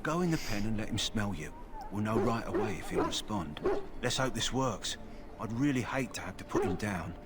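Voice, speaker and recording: An actor playing a practical middle-aged kennel master in a fantasy video game, talking in a plain, matter-of-fact way. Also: male voice, on the low side, lively intonation, normal speed, lightly acted. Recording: game audio with clear background noise.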